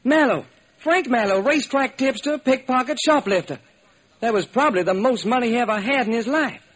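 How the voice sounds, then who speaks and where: A man's voice: very high voice, lively intonation, fairly quick, a bit bright, breathy edge, voice pushed up, exaggerated voice. A man voicing a weary, exasperated American police lieutenant in an old-time radio crime drama. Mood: weary, resigned.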